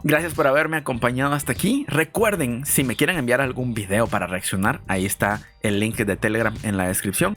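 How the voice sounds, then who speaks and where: A man's voice: medium pitch, a bit bright. A man from Guatemala hosting a casual reaction video, musing aloud in a chatty way.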